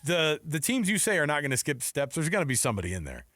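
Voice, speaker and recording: A man co-hosting a sports radio show with teasing, excitable, conversational banter. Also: fairly high pitch, quick pace, no echo, clean studio sound.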